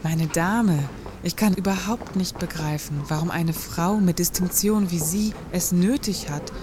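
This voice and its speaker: A woman acts a haughty, well-off lady shopper in a satirical radio play. Her voice sits fairly low and she talks in pompous, affected, wordy sentences. Clear background noise runs behind her. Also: unhurried, close to an everyday voice. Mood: pompous, self-satisfied. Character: haughty, snobbish affluent woman shopper, self-righteously pitying beggars.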